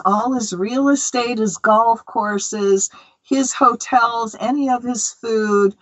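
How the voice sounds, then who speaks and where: Slow-talking chatty woman radio host. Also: medium pitch, fairly bright tone, rough recording. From United States. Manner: chatty, opinionated, rambling with fillers. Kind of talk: casual spiritual radio talk.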